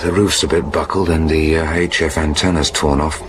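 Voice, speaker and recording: A man's voice: a bass voice, steady tone, moderate pace, noisy background. A man acting in a radio drama as an earnest, protective polar ice scientist who explains things methodically. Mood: explaining.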